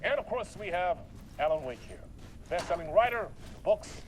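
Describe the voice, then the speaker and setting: A man's voice: sits high, expressive, normal speed, slight background noise. An actor playing an effusive, teasing male TV talk show host with a showy, playful manner and dramatic emphasis. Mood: showy, exuberant. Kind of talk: theatrical late-night talk show hosting.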